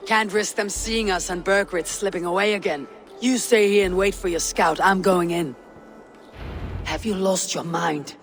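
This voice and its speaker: An actress playing a stern, battle-hardened female Viking raider in a video game, with a low, gruff voice and terse delivery. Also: moderate pace, faint music behind, lightly acted. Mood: tense, hushed. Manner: terse, commanding. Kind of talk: dramatic video game acting.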